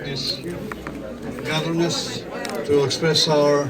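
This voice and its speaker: A man's voice: on the high side, flat delivery, slow pace, a loud crowd. Earnest man giving a blessing, distant mic. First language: Albanian. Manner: earnest, blessing-filled delivery. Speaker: chaplain. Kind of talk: closing prayer and speech.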